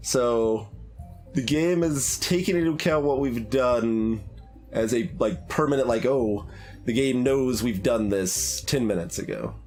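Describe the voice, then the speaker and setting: A man giving casual, halting playthrough commentary as a game streamer, thinking aloud in an analytical, musing way. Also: medium pitch, a little mellow, faint music behind.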